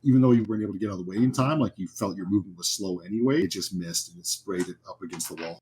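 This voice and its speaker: A man running a horror tabletop game, describing scenes informally and haltingly as game master. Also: deep voice, darkish tone, slight background noise, low-quality recording.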